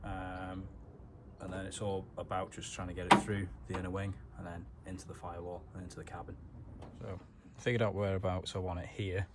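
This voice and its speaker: A man's voice: low-pitched, little pitch movement, brisk, very noisy. A man giving a casual, matter-of-fact hands-on car tutorial, explaining things step by step in informal words. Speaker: DIY car mechanic vlogger.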